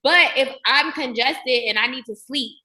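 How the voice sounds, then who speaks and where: A woman speaking as a guest psychologist on a podcast, with a Detroit accent, animated and upbeat in casual conversation. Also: high voice, normal speed. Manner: animated, upbeat, laughs often.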